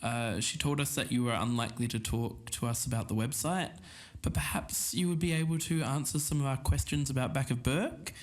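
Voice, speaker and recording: A man's voice: medium pitch, a little fast, hardly any pauses, lightly acted. An actor playing a polite, slightly hesitant man who hosts an investigative podcast in an audio drama. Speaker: podcast host.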